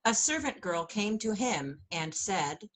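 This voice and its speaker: A woman's voice: mid-range voice, expressive, takes it slowly, a bit bright. A woman narrating a scripture passage as a lay church reader.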